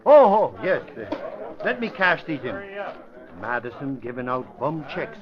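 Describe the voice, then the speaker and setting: A man playing a boastful, excitable newspaper reporter who blusters and mutters in an old-time radio drama. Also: mid-range voice, unhurried, a crowd in the background. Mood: flustered. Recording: dull old radio recording.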